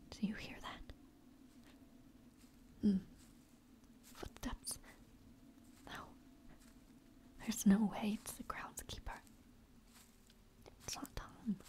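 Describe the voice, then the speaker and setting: A young woman playing a gentle, shy noblewoman who aspires to knighthood, speaking softly and haltingly in an intimate audio roleplay. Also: mid-range voice, natural voice. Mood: tense, hushed. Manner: soft, hesitant, halting speech.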